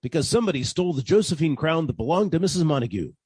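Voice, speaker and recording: A man acts out a wry radio private eye, unflappable and quick with a crack. His husky, slightly high voice moves with lively intonation as he questions and narrates. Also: dry recording, studio quality. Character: wisecracking, unflappable male private detective. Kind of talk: old-time radio detective drama acting and narration.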